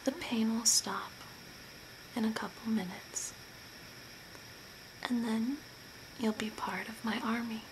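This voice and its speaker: A woman whispering softly and breathily in a soothing, deliberate ASMR role play, close to the mic. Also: a little high, fairly even intonation, low-quality recording. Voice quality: breathy, mostly unvoiced whisper. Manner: soft, deliberate, soothing with short phrases. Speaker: ASMR performer playing a zombie makeup artist. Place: small curtained room at home. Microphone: close to the mic at a desk. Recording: clear background noise, room ambience.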